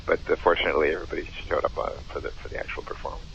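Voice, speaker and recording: A low, creaky-voiced man reminisces casually and quickly, trailing off at phrase ends. The recording is dull with faint noise. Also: steady tone, fairly bright tone.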